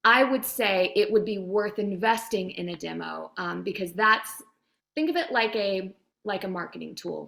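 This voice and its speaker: Woman demo producer answering questions, chatty, medium pitch, explains stuff in plain everyday terms. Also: creaky, a bit bright. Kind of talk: conversational advice in a Q&A.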